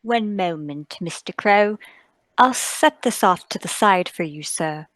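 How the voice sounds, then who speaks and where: A woman playing a polite, curious librarian in a radio play, speaking in a measured, courteous way. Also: on the low side, a bit bright, dry recording. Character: courteous, inquisitive female librarian. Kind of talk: radio play table read.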